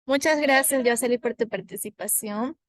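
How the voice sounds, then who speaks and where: A woman's voice: a little high, normal speed. A woman moderator thanking one speaker and introducing the next in a formal way.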